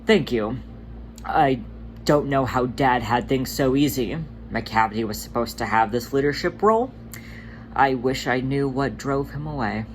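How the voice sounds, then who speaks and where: A performer voicing an earnest, worn-down male cat leader who speaks haltingly and vulnerably, in a storybook fan reading. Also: medium pitch, slight background noise, natural voice, low-quality recording. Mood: weary, troubled.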